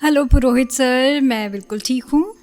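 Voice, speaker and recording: A woman's voice: high voice, moderate pace, a little mellow. An actress playing a guarded married woman novelist and hurt former lover in a radio drama, in a conversational manner. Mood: polite.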